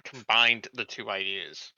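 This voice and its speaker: A man's voice: on the low side, a little slow, bright, forceful tone, no room sound, highs cut off. A man taking part in a tabletop roleplaying game, chatting in a casual, joking way.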